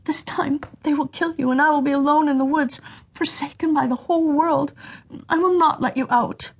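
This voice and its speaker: A woman's voice: sits high, fairly even intonation, moderate pace, pitched above the performer's own voice. A woman voicing an anxious, tender young girl in a fairy tale, pleading earnestly with her brother. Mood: tearful, desperate. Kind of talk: children's fairy tale storytelling.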